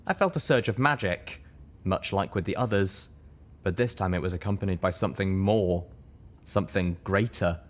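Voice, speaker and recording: Low-voiced man narrating a story. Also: moderate pace. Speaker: audiobook narrator. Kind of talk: scripted first-person story narration.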